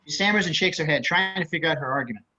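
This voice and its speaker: A man's voice: on the high side, racing, bright, forceful tone. A man reading stage directions as scripted narration in a screenplay table read. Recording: slightly dull video-call audio.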